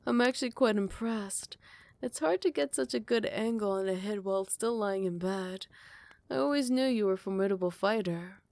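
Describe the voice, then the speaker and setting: A woman performs a noble vampire lady for a fantasy ASMR roleplay. Her mid-pitched voice is soft and often hushed, with proud, courtly, measured phrasing that betrays devotion to a rival. The sound is intimate and very dry, close on the microphone. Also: creaky, dry recording, natural voice. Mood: contrite, wry.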